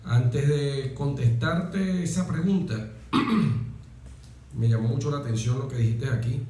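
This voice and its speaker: A man's voice: medium pitch, warm tone. Venezuelan man in a very echoey room. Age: 40s. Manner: deliberate, emphatic with pauses and repetition. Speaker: interview guest, military officer. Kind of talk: reflective, oratorical interview talk.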